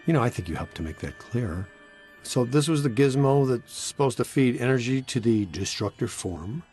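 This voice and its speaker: A man's voice: mid-range voice, animated, normal speed, a little mellow, breathy edge, soft background music. A man voicing a cocky, flirtatious ghost-hunting scientist in a video game, glib and sardonic. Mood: wry. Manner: sardonic, glib, laid-back. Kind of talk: video game cutscene acting.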